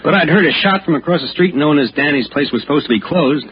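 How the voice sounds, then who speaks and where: An actor playing an older, folksy, watchful policeman with rough colloquial talk in a 1960s radio drama. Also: on the high side, animated, fast talker, a little hiss or hum, natural voice. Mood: chatty, confiding. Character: folksy old beat policeman, gruff and watchful. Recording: dull, lo-fi old radio recording.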